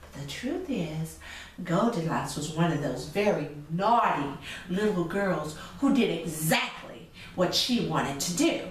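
A woman's voice: fairly low pitch, expressive, unhurried. A middle-aged woman hosts a children's story reading, speaking expressively and directly to young listeners. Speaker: storyteller and children's show host. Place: indoor room with chalkboard backdrop. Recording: echoey room from a distance, faint noise.

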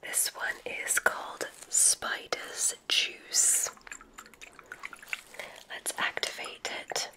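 A woman playing a gentle witch examiner in an ASMR roleplay, whispering in a soothing, deliberate, mysterious way. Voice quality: breathy, unvoiced whisper.

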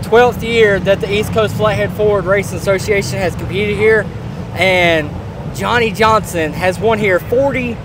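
A middle-aged man with a high voice eagerly rattles off racing stats, fact after fact. Clear outdoor pit noise sits behind him. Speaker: stats guy. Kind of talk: reciting stats. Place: outdoor racetrack pit area. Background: pit ambience.

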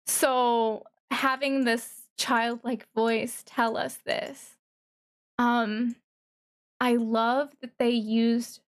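A woman streamer talks through her reaction in a casual, halting way. Her voice sits a little high, and she takes her time, thinking aloud. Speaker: streamer reacting to a game trailer.

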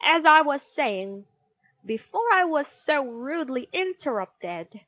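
A woman acts out a fierce storybook schoolmistress, snappish and commanding. The voice is raised and high, with sweeping pitch, and each order is delivered at a measured pace. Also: expressive, fairly bright tone, dry recording. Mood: commanding, irritated. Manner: scolding, commanding. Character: stern, snappish, glaring female schoolteacher.

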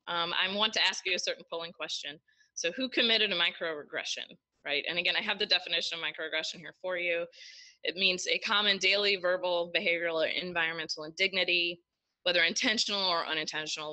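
A woman presenting a webinar lesson, explaining terms in a measured way. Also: on the low side, pushed and bright, rough recording. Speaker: lecturer.

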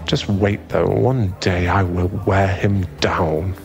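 A man with a British accent playing a tender, protective aristocratic werewolf fiancé in a soothing ASMR boyfriend roleplay, his voice husky and genteel. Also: deep voice, fairly even intonation, takes it slowly, soft background music, heavily acted. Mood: polite, genial.

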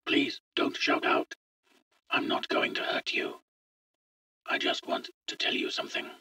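A man playing an eager, bewildered middle-aged visitor from the future, speaking in a hushed, halting way in a story. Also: no room sound, studio quality, far from the mic. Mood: hushed, pleading. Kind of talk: audio short story dialogue.

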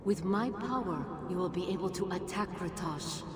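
A woman's voice: fairly low pitch, normal speed, over a noisy crowd, close to an everyday voice. An actress playing a solemn, regal female djinn spirit who speaks formally in a video game. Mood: resolute. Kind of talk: dramatic video game acting. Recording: echoing hall, heavy background noise.

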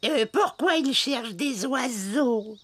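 A man's voice: very high voice, animated, slow pace, fairly bright tone, slightly rough, exaggerated voice. A man voicing a naive, dim goblin sidekick in a children's cartoon, puzzled and hesitant. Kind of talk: animated children's cartoon dubbing.

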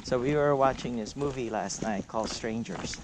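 A man's voice: mid-range voice, normal speed. A man chatting casually while hiking with his dog, telling a rambling story as he walks. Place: outdoors on a forest trail. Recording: loud outdoor noise on camera mic.

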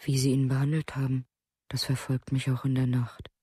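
An actor playing a haunted, anguished man who confesses his guilt and grief haltingly in a documentary radio play. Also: mid-range voice, completely flat delivery, dark tone, breathy edge, no echo, clean studio sound, natural voice.

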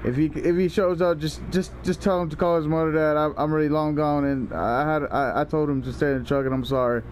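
A young man with a slightly high voice rambles through a prank phone call in casual slang. He stammers and swears as he goes, with faint noise behind him. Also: steady tone, fast. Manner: rambling, foul-mouthed, stammering. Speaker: prank caller and video host.

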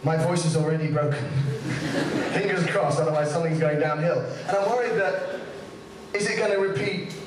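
A man's voice: a little high, moderate pace, background noise. A young man doing a stand-up set with a light, boyish voice, rambling and self-mocking into a handheld mic in a small comedy club. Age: young adult. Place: small comedy club before a seated audience. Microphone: handheld stage mic. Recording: echoey club recording from a distant camera. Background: audience laughter.

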